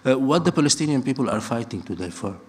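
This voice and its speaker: A man's voice: mid-range voice, animated, fast talker. Earnest man giving a public talk. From Lebanon. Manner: earnest, building points through repetition, frequent restarts. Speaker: speaker at a political talk.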